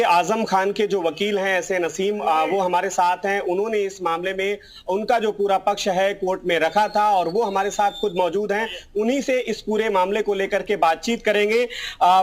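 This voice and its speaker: A man reporting live for the news, with hesitant, repetitive phrasing. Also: high voice.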